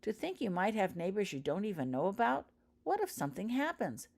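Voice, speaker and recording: A woman's voice: mid-range voice, big pitch swings, a little fast, gravelly, darkish tone, pitched above the performer's own voice. A woman voicing a concerned, kindhearted schoolgirl witch in a storybook reading. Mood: troubled.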